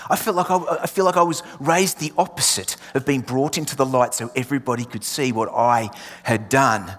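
An English-born man with an Australian accent preaches, repeating key lines with rising force and sinking almost to a whisper. His voice sits at an ordinary pitch for a man. A long reverb trails each phrase, as in a large hall. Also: a bit bright. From England. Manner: emphatic, repeats refrains, drops to near whisper. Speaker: pastor. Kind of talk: sermon.

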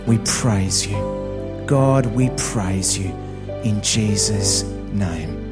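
A man leading a prayer in church, slow and earnest. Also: fairly low pitch. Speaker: prayer leader. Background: soft new-age music.

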